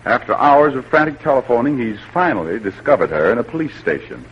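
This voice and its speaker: A man's voice: medium pitch, big pitch swings, fast talker. A male announcer delivering scripted narration in a 1940s radio style. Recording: dull, noisy old radio recording, music.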